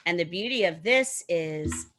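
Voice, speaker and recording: Female preschool teacher, low bright voice, casual and conversational, speaking on a video call. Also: lively intonation, unhurried.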